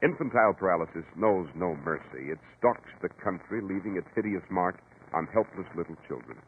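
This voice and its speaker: A man's voice: deep voice, brisk, slight background noise. A man with an American accent announcing in formal, emphatic 1940s scripted radio style. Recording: tinny 1940s broadcast over organ music. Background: loud-ish organ music.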